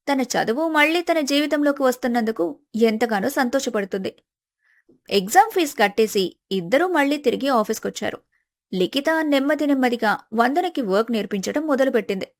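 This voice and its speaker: A woman narrating a scripted family story. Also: a little high.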